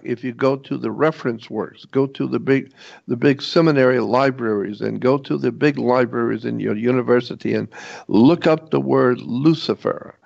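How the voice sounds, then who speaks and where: A man giving an informal explanatory lecture in a halting, rambling way, repeating points for emphasis. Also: medium pitch, gravelly. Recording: dull, lo-fi muffled audio.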